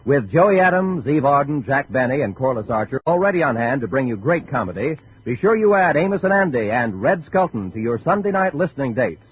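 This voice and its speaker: A man's voice: mid-range voice, very animated, brisk, few pauses, slightly rough. A man working as a 1950s network radio announcer, delivering promotional copy in a polished, presentational way. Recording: dull, faintly noisy 1950 radio recording.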